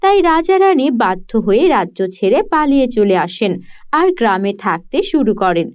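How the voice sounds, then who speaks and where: A woman narrates a children's storybook cartoon in a smooth, even, scripted way. Her voice is high, and the recording sounds dull and lo-fi. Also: normal speed.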